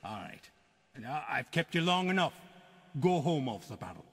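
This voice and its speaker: A man playing a kindly, fatherly police commissioner in a radio drama, halting and formal as he encourages. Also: mid-range voice, big pitch swings, slightly rough, long reverb tail. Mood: kindly, encouraging. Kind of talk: old-time radio style dramatic acting.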